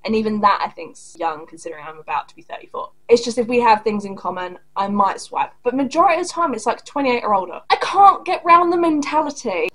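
Woman, 30s, higher voice, home-desk reaction host; sarcastic, sweary, blunt. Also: a bit bright, rough recording. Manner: angry, profane, blunt and sarcastic. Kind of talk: casual reaction commentary. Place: small home room indoors.

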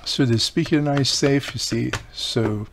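A man's voice: medium pitch, a little slow, creaky, a little hiss or hum. A middle-aged man giving casual, hands-on instruction in an explanatory way, with frequent small cautions. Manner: explanatory, repeats "you know" and cautions. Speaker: radio repair video host. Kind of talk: casual hands-on repair tutorial. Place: indoor workbench.

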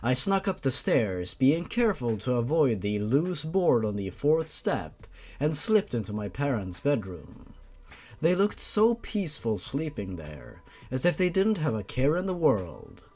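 A man's voice: mid-range voice, sing-song, measured pace, darkish tone. A man reading fan fiction aloud as the host of a series, in a dramatic, mock-serious storytelling manner.

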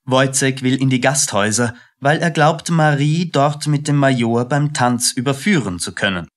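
A man's voice: medium pitch, moderate pace. A man reading scripted narration for a radio play.

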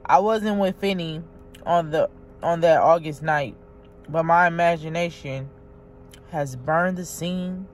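A woman vlogging from inside her car, reading aloud haltingly and chatting straight to her viewers. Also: on the low side. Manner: halting read-aloud, stumbles and restarts; chatty, talks directly to viewers. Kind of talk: casual vlog, reading aloud.

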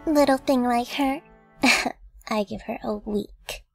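Female actor, high cartoon society mare, gushing, falsely sweet, catty, light music. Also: slow pace, creaky, a little mellow. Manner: gushing, condescending. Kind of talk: cartoon comic dub.

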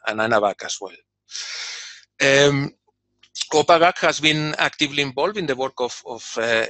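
A man's voice: medium pitch, sharp tone, dry recording. A man who speaks as his gives a prepared conference keynote over a video call, with many fillers and repeated words. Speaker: industry representative giving a keynote. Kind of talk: prepared conference talk read from a script.

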